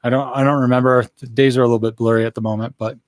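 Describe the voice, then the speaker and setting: A hoarse man in his thirties giving a casual, rambling live update into a studio microphone in a small room. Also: medium pitch, little pitch movement, very fast, no room sound. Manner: rambling, many fillers and restarts. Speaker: vlogger giving a health update. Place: small indoor room, wood-plank backdrop. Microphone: studio mic on boom arm in front.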